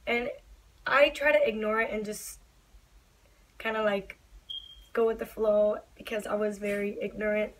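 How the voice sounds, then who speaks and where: A young woman vlogging to camera in a small bedroom, talking earnestly and openly, her voice slightly raspy and breathy. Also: mid-range voice, steady tone, measured pace, pauses often, low-quality recording. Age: young adult. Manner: earnest, open, reassuring. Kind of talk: personal vlog talk to camera.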